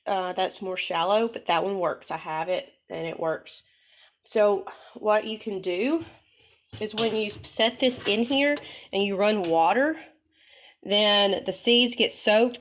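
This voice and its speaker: Nasal woman getting over a cold. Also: medium pitch. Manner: chatty, rambling, with many ums and self-corrections. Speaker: vlogger. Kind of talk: casual how-to demonstration.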